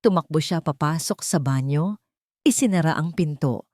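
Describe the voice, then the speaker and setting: A woman with a Filipino accent narrating a scripted love story in a dramatic, deliberate way with emphatic pauses. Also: sits low, a little mellow, dry recording, studio quality.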